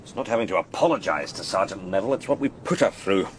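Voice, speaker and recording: An actor playing a gruff senior police inspector in a radio crime drama, weary and wry. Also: male voice, low voice, lively intonation, fast talker, a little hiss or hum. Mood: wry, grim.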